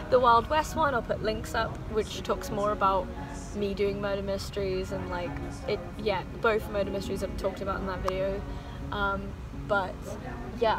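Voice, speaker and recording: A woman's voice: mid-range voice, poor audio. Chatty young woman, casual vlog. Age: 20s. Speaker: vlogger, university student. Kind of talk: casual chat to camera.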